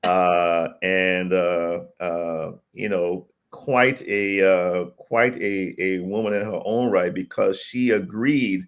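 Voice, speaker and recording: Middle-aged man from Liberia, very low gravelly voice, reflective interview guest who pauses often. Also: steady tone. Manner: thoughtful, measured, frequent uh fillers. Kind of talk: reflective conversational storytelling.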